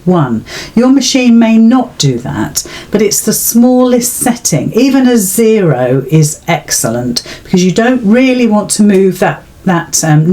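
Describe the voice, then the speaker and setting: A woman's voice: medium pitch, darkish tone. A British woman giving an informal, step-by-step craft demonstration in a chatty, reassuring way. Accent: British English. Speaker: sewing tutorial host.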